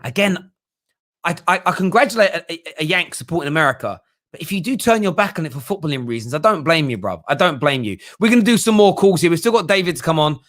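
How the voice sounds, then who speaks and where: A man's voice: fairly high pitch, animated, quick pace, fairly bright tone. A man hosting a football fan livestream, chatting bluntly and emphatically about viewer comments and often repeating himself. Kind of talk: casual live fan talk, reading out viewer comments.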